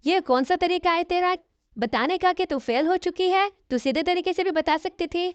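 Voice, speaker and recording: A woman performs an exasperated middle-aged cartoon mother. Her voice is high, with an insistent, scolding manner. The sound is very dry and slightly lo-fi. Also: moderate pace, dry recording. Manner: reproachful, insistent. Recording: dull, lo-fi audio.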